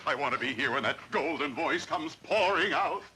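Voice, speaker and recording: An actor playing a middle-aged, flamboyant opera-singing uncle who talks in a grandiose, theatrical way and bursts into aria snatches. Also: male voice, a little high, expressive, normal speed, sharp tone, slight background noise. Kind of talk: 1960s sitcom acting.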